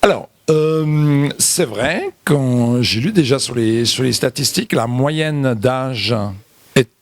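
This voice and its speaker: A man from Europe giving unscripted interview answers as a guest, haltingly, often repeating words and phrases. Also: mid-range voice, lively intonation, dry recording. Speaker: interview guest, visitor to Burundi.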